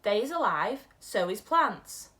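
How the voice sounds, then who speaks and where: Gentle male giant with odd mangled grammar, earnest and kindly, lively rising and falling tone, as a woman reads him in a storybook. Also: mid-range voice, unhurried. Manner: quirky broken grammar, warm earnest storytelling.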